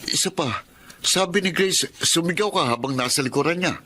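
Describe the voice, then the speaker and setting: Bright, forceful Filipino man. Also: a little high, expressive, moderate pace, a little hiss or hum. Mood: indignant. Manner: earnest, reproving. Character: firm, principled male employer defending his maid. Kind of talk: Tagalog radio drama acting.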